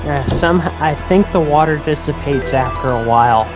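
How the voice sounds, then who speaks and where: A man's voice: fairly high pitch, normal speed, poor audio. A man casually bantering as a gameplay co-host, with playful, goofy interjections. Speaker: let's play co-host. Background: game music.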